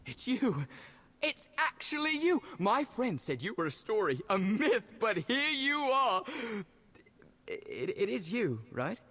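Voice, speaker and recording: An actor playing a giddy, starstruck fan of a legendary hero in a fantasy video game, gushing and stammering with excitement. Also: mid-range voice, takes it slowly, full character voice. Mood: awestruck.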